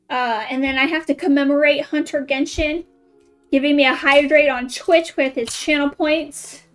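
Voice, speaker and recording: A woman chatting casually as she streams a game, thinking aloud in short, playful remarks. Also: high voice. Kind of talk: casual live gameplay chat.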